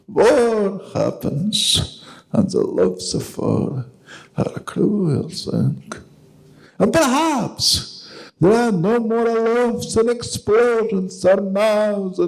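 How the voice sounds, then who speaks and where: A man who speaks with a heavy accent, slowly reading an English translation of a poem at a live reading. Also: high voice, creaky, low-quality recording. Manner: heavy accent, slow deliberate delivery. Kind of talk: poetry reading. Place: gallery reading event.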